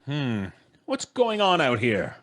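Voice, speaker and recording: A man's voice: mid-range voice, wildly swooping pitch, slow, a bit bright, full character voice. An actor playing a grumbling, stammering, sceptical father in an old-time radio comedy. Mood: puzzled, irritated. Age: middle-aged. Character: blustering, practical, sceptical husband and father. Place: small home studio on a video call. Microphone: close studio microphone.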